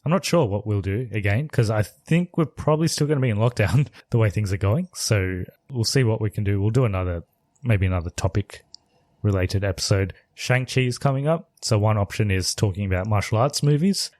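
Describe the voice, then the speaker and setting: A man co-hosting a podcast in casual chat, relaxed and often laughing as he steers the talk. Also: on the low side, a little fast, no echo, studio quality. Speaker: podcast co-host.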